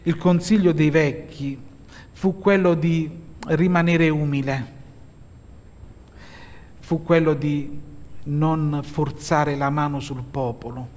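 A middle-aged man from Italy preaching a sermon from a pulpit as pastor, slow and measured with pauses and hesitant fillers. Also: a little high, steady tone, darkish tone. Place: church hall, at a pulpit. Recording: long hall reverb.

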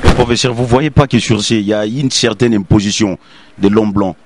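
A man's voice: lowish voice, normal speed, noisy background. A man interviewing a guest, asking questions with some hesitation and fillers.

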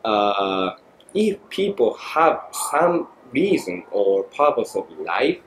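Japanese man, forties, podcast guest, halting and reflective, echoey studio. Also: lowish voice, sing-song. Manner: thoughtful, halting, many fillers. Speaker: guest photographer. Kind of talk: podcast interview. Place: small studio room. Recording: echoey room, faint noise.

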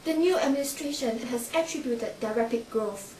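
A woman's voice: a little high, normal speed, dark tone, a little hiss or hum, close to an everyday voice. A young woman playing an earnest television news anchor, reading a scripted mock newscast. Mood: formal. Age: young adult. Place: indoor room with wooden panels. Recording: echoey room, distant camera mic.

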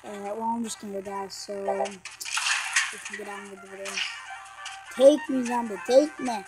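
A woman's voice: mid-range voice, fairly even intonation, normal speed, low-quality recording. A young female gaming YouTuber talking casually through a phone game tutorial, rambling and apologizing with lots of fillers, recorded on a phone at home. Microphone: phone microphone. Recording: game music over phone-mic voice. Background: game music.

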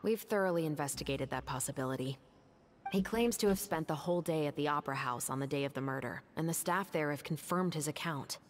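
Woman playing a low-voiced, methodical detective. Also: faint music behind, natural voice. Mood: serious. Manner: measured, serious. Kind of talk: video game voice acting.

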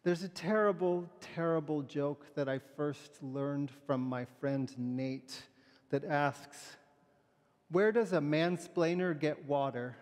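A middle-aged man speaks from a pulpit in a notably high voice for a man. He preaches and reads in a gentle, conversational, slightly halting way, with the long reverb of a large church around him. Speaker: pastor. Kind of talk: sermon with poem and scripture readings, conversational.

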